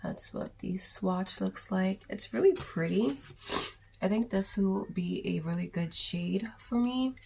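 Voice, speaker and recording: A young woman with a sore throat and cough, chatting casually in a raspy voice through a makeup haul vlog. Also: medium pitch, unhurried, dark tone, slight background noise. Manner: chatty, rambling, with many ums and likes. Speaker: beauty vlogger.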